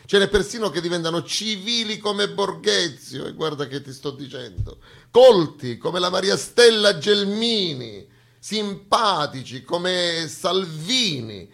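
A man delivers a satirical theatrical monologue in a gravelly, husky voice, sarcastic and emphatic, with rhetorical questions and pointed pauses. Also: high voice, expressive, fairly bright tone. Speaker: stage actor and monologist.

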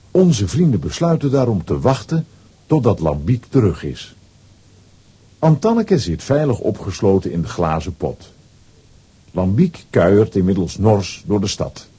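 A man with a very husky, mellow voice narrates a children's story. His delivery is expressive, moving up and down as he tells it like a radio play storyteller. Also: on the low side, a little slow.

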